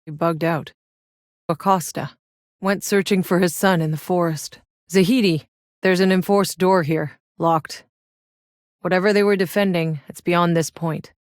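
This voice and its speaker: Woman acting as a tough sardonic soldier lady from a video game, lowish voice, clipped and hard edged, really dry studio sound. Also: fairly even intonation, unhurried, dry recording, natural voice. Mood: businesslike. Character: tough, sardonic, foul-mouthed female soldier, weary but determined. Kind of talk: acted video game voice lines.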